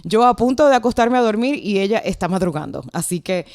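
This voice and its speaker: A woman's voice: medium pitch, animated, a little fast, darkish tone, no echo. Puerto rican lady hosting her podcast, chatty and friendly, dry close sound. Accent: Puerto Rican Spanish. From Puerto Rico. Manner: friendly, chatty, laughs easily. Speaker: podcast host. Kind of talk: casual interview chat. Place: at home at night.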